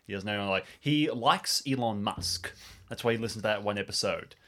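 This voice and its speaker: A man's voice: fairly low pitch, moderate pace, a bit bright. A man co-hosting a podcast with casual, teasing banter. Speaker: podcast co-host and player.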